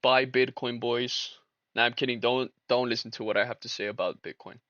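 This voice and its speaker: A man's voice: medium pitch, fairly even intonation, fairly quick, a bit bright, no room sound, dull audio. Slangy young man streaming his trades. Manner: slangy, laid-back, talks to chat as boys and brother. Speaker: livestream trader and host. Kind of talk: casual live-stream chat while trading.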